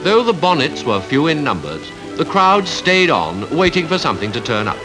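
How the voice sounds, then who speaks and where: Male newsreel narrator, British RP accent, 1950s style, formal, measured, animated pitch, music underneath, archive recording. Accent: British Received Pronunciation. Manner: formal, measured, rhetorical delivery.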